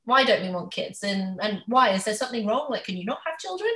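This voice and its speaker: A woman in her twenties with a bright, forward voice, recorded in a roomy, echoey space. She talks casually and candidly at a middle pitch, like someone chatting openly with a friend. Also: lively intonation, fast. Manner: chatty, candid, acts out others' questions. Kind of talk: casual conversational chat.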